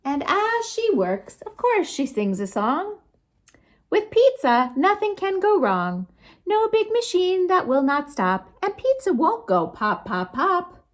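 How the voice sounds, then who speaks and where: A woman storytime host reading picture books aloud to children, expressive and sing-song, with chatty asides to her young listeners. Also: very high-pitched, slow. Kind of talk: animated picture-book read-aloud for children.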